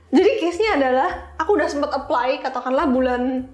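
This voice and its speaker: A woman's voice: high-pitched, fast. A young woman with an Indonesian accent telling stories casually as an interview guest, informal, with English terms mixed in. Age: young adult. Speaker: interview guest, software engineer. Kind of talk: casual conversational storytelling. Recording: echoey room.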